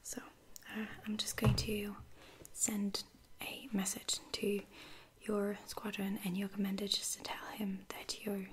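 A woman playing a caring space lieutenant in a whispered ASMR roleplay, breathy, hesitant and soft-spoken. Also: mid-range voice, monotone, unhurried, hardly any pauses, a little hiss or hum, lightly acted, low-quality recording. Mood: reassuring. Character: caring, reassuring female space lieutenant tending a wounded soldier.

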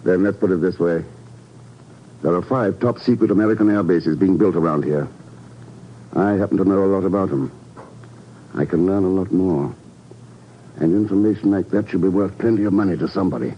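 Low-voiced cool agent, old radio. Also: male voice, fairly quick, pauses often. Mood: cool, probing. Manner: clipped, dry, self-assured. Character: cool, wry, tough male secret agent. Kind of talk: 1950s radio drama acting. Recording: muffled, noisy 1950s radio recording.

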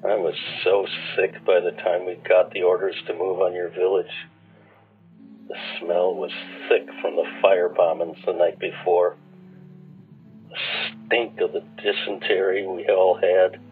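A haunted, weary war veteran in a radio drama. His voice is deep and very slow, reflective and confessional. It sounds narrow and radio-like, with faint music. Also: level tone. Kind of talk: radio play monologue.

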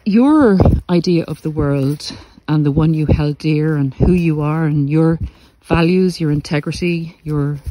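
Higher-pitched man talking earnestly outdoors. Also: a little mellow, low-quality recording. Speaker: podcast host and narcissistic abuse coach. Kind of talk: reflective spoken monologue to listeners. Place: outdoors in the countryside, walking. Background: country sounds.

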